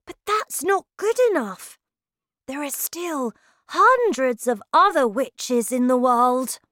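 A woman's voice: high voice, lively intonation, slow, fairly bright tone, no echo, studio quality, voice pushed up, exaggerated voice. A woman voicing an eager, indignant small boy in a children's storybook, full of breathless questions and exclamations. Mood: upset, insistent. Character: excitable young boy turned mouse, eager and indignant.

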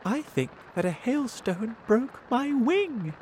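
A man's voice: very high voice, big pitch swings, slow, a little mellow, a little hiss or hum, pitched above the performer's own voice, heavily acted. A man voicing a timid, worried ladybird in a children's story, nervous and complaining.

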